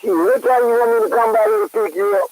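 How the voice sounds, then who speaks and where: Noisy CB radio signal carrying a man with a very high voice that swings up and down. He is excitable and slangy, cursing and repeating phrases as he chats. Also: quick pace, gravelly. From United States. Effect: CB radio transmission. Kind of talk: casual CB radio chat. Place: on-air CB radio, heard with heavy radio noise. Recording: loud static noise, CB radio transmission.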